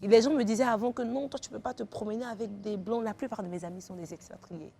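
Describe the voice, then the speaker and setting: Woman, talk show guest, emphatic advice, mid-pitched voice. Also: fast talker, creaky, dark tone, husky. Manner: emphatic, giving heartfelt advice.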